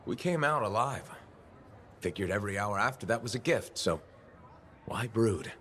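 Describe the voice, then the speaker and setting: Low-voiced, direct game hero. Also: male voice, animated, normal speed, a bit bright, slight background noise. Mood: cautious, probing. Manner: direct, dry, questioning. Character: steady, plain-spoken male freelancer hero, dryly amused. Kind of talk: naturalistic video game acting.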